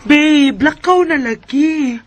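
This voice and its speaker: High-voiced young bride-to-be. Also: female voice, slow, soft background music. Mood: coaxing, affectionate. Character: affectionate, pleading young bride-to-be. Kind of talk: Filipino radio drama acting.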